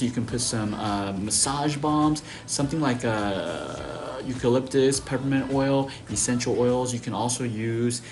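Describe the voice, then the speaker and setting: Male health presenter from Taiwan, mid-pitched, conversational acupuncturist explaining things simply over some background noise. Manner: conversational, checks in with short tag questions. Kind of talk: casual instructional talk to camera.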